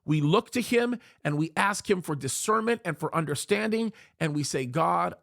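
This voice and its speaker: A man's voice: a little high, no room sound, studio quality. A pastor, a man, preaching emphatically to his viewers, pleading and repeating phrases for weight. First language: Arabic is his second language, English first. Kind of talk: preaching commentary to camera.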